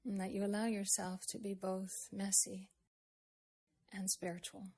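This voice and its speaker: A woman speaks as a meditation guide in a husky, breath-laden voice at a medium pitch. Her intonation stays flat and steady. She is gentle and takes her time, letting long pauses fall between short phrases. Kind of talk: slow guided meditation, with a poem recited.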